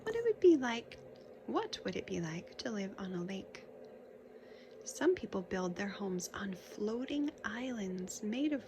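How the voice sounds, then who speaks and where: A woman's voice: a little high, noisy background. A woman reading a picture book aloud for young children, instructive, with casual asides and questions about the pictures. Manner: instructive, pausing to point out pictures.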